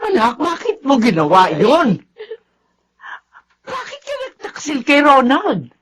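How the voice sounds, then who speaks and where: Animated, scolding Filipina mother. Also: high voice, slow, soft background music, full character voice. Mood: reproachful, dismayed. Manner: reproachful, lamenting. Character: scolding, dismayed mother of an adult daughter. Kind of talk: Filipino radio drama acting.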